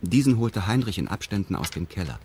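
An actor playing a detached male narrator in a radio drama, speaking in a formal, meticulous, deadpan manner. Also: low voice, fairly quick, a little hiss or hum. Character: detached male narrator recounting a weekend with pedantic precision. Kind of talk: scripted first-person radio drama narration.

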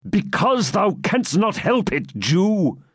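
A man's voice: high-pitched, sing-song, breathy edge, no room sound, clean studio sound, pitched above the performer's own voice, heavily acted. A man doing a stern, cruel baron. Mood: menacing. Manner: stern, slow, menacing. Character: cruel, sarcastic male Norman baron.

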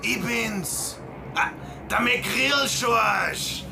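Shrill, creaky, brash drifter. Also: male voice, very slow, exaggerated voice. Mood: pushy, wheedling. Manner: loud, drawling, needling. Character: brash, seedy male old acquaintance, pushy drifter. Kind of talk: radio drama acting. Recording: loud guitar music behind the voice.

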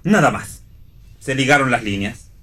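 An actor playing a wry, sententious amateur philosopher and palm reader in radio theatre. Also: male voice, mid-range voice, lively intonation, moderate pace, pushed and bright. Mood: teasing. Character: wry male philosophizing would-be psychic, teasing and theatrical.

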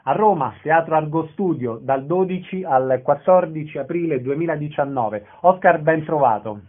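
Man, radio interviewer, somewhat high pitch, concise prepared questions, band-limited telephone-style audio. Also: normal speed. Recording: narrow phone-like radio sound.

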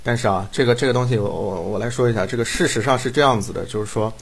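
A man's voice: medium pitch, rapid-fire, creaky, slightly rough. A man, a drama director, explaining casually in a rambling way, repeating his phrases. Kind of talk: casual explanation in a group chat.

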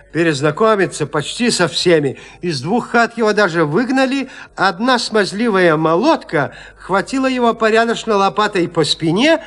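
High-pitched, dramatic male storyteller. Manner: theatrical, expressive storytelling. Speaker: narrator. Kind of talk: dramatic literary narration of a classic horror tale in an audio play.

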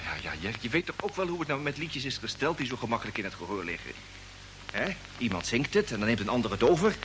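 An actor playing an excitable, flustered radio operator on a spaceship, stammering and colloquial, in an old Dutch radio drama. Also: male voice, mid-range voice, fast talker. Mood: flustered, defensive. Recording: noisy vintage radio drama recording.

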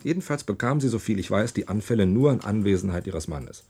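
An actor playing a stubborn, hot-headed research doctor in a naturalistic radio play, emphatic and given to repeating himself. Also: male voice, lowish voice, animated, fast, a little mellow, breathy edge, dry recording. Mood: earnest, insistent.

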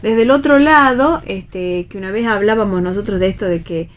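An Argentine woman talking casually and a little haltingly in a literary discussion, often checking with short tag questions. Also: mid-range voice. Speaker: panelist on a radio theatre discussion show. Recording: clear background ambient noise.

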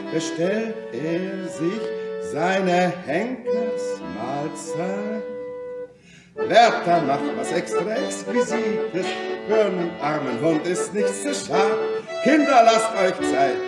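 An actor in a German radio play, playing a boisterous, philosophizing Parisian of the Commune era who declaims and sings. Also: male voice, high-pitched, exaggerated voice. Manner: declamatory, theatrical. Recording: roomy, distant radio-play sound with loud music.

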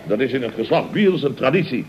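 An actor playing a pompous, easily flustered middle-aged contractor, blustering and stammering in a radio comedy serial. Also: male voice, medium pitch, animated, a little fast, background noise, lightly acted. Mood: indignant bluster. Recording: muffled old radio recording.

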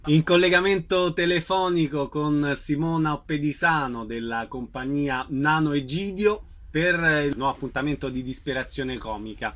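A man's voice: fairly high pitch, a bit bright, dry recording. A man presenting a radio programme, announcing items and interviewing a guest. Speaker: radio host.

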